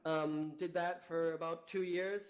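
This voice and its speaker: A young man from Canada, guesting on a talk show by remote link, chatty and quick to laugh. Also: on the high side, level tone. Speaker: guest, company founder. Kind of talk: casual interview chat. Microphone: remote call.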